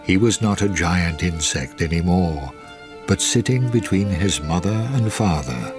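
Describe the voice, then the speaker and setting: A man's voice: low-pitched, lively intonation, unhurried. A man narrating a radio drama in a scripted storytelling style. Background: music with violin.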